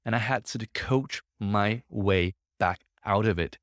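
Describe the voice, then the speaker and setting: A man's voice: lowish voice, a little slow, no room sound, studio quality. A man in his thirties hosting a solo podcast from his office, talking in a reflective, conversational way, a little congested from a sore throat. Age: 30s. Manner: conversational, reflective, self-coaching tone. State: slightly congested, throat a bit off. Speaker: podcast host.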